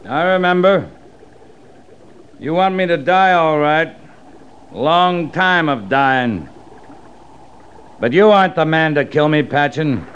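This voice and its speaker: An actor with an American accent playing a stoic, fearless cavalry captain, terse and plain-spoken, in 1950s Western radio style. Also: male voice, on the high side, expressive, slow, long pauses, a bit bright, clean tone, muffled recording. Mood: grim defiance. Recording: noisy 1950s radio recording.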